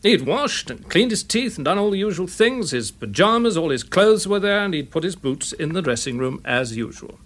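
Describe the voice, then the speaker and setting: A man's voice: high-pitched, sing-song, normal speed, few pauses, fairly bright tone, exaggerated voice. A man playing an earnest, methodical English police detective in a radio drama, precise and matter-of-fact. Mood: earnest, explaining. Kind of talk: period radio drama acting.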